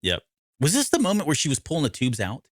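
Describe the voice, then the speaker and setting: A man's voice: medium pitch, huge pitch swings, fast talker, no echo, clean studio sound. An American man from the Midwest co-hosting a podcast, recapping a story in a casual, informal way with asides. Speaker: podcast co-host.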